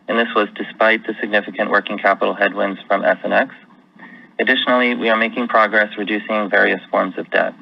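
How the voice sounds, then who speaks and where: A man's voice: on the low side, gravelly. A man speaking as a company finance executive, delivering prepared remarks on an earnings call. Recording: dull lo-fi conference call audio.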